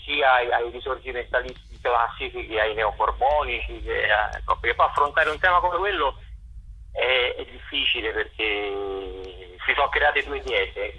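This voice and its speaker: A man giving a radio interview as a theatre director and actor, articulate and discursive, pausing to search for words. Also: medium pitch, slightly rough, highs cut off. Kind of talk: radio interview, explaining and promoting work.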